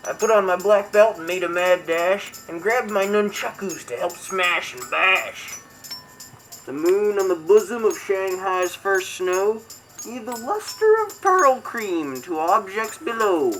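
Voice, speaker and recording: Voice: medium pitch, bright, forceful tone, rough recording. A performer voicing a brash, crude martial arts buddy character, reading comic verse in a mock-dramatic, theatrical way. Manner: mock-dramatic storytelling, irreverent. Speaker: comedy show host character. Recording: music behind the voice.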